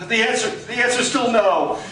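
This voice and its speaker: Man playing a high-pitched, pleading professor dad. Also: normal speed, bright, forceful tone, a little hiss or hum. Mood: pleading, alarmed. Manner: melodramatic, stammering. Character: earnest American professor, pleading frightened father. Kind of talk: old-time radio serial acting. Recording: echoey live coffee house, distant mic.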